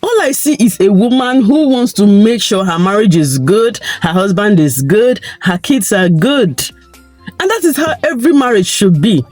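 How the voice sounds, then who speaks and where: A Nigerian woman with a croaky, tired voice, giving her opinions in a chatty vlog and pushing her points with rhetorical questions. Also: medium pitch, lively intonation, moderate pace, a little mellow, dry recording, soft background music. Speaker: vlogger.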